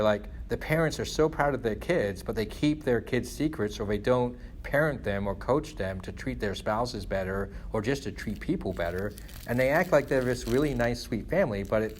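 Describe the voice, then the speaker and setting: A man's voice: medium pitch, slight background noise. A middle-aged man from England talking casually in a rambling way, leaning often on "you know" and "like". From born in England to Guyanese parents of Indian descent. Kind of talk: casual conversational venting.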